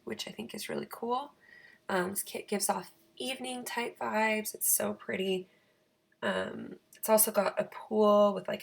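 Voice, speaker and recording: Female craft vlogger, raspy and creaky, mid-pitched, chatty and self-deprecating, casual voice-over. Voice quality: raspy, croaky. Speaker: planner channel host.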